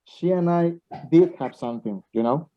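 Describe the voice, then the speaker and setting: Man as lovestruck young male student, anxious, earnest, higher pitch, dull recording, amateur radio play. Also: moderate pace, warm tone, close to an everyday voice. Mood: competitive.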